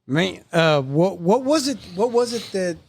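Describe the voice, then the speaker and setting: A middle-aged man chatting as host of a relaxed podcast, his voice sitting a touch higher than most men's. He talks in an easygoing, rambling way and laughs along with his guests. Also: a little slow, vocal fry. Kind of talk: casual conversation.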